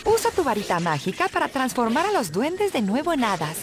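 A woman narrates a video game as its guide. She reads scripted instructions with animated intonation, and clear noise runs behind her voice. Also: high voice, a little fast.

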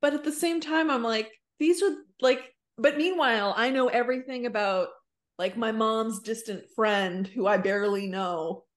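Woman in her 30s, podcast chat, kinda high voice, retells convos quote by quote. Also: moderate pace. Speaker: podcast co-host. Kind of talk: casual chat. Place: indoor room on a video call.

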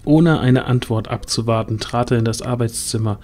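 A man's voice: medium pitch, normal speed, darkish tone. A man reading scripted narration as the storyteller of a fantasy audio drama. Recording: music under the voice.

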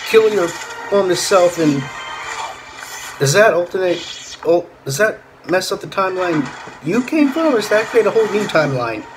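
A man's voice: sits high, sing-song, normal speed, low-quality recording. A man in his thirties giving casual, exclamatory commentary as he reacts to a video, often sounding incredulous. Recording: music playing behind. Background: soundtrack of the watched video.

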